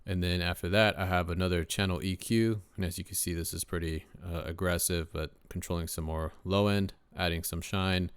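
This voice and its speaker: A deep-voiced young man, a rapper casually walking through his mixing setup in a relaxed way, with lots of ums and uhs. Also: a little fast, few pauses, clear, resonant tone, dry recording. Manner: laid-back, lots of uh and um fillers. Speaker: rapper and home mixing engineer. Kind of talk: casual screen-share mixing tutorial.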